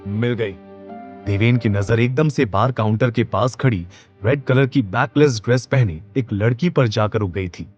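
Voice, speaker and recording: Male story narrator, higher mid-range pitch. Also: moderate pace, darkish tone, breathy edge, highs cut off. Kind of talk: scripted dramatic story narration. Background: background music.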